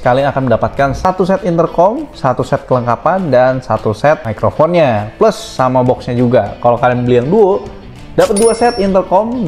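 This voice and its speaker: A man with an Indonesian accent presenting products in a casual, informal sales pitch, addressing viewers like friends. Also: a little mellow. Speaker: helmet shop presenter.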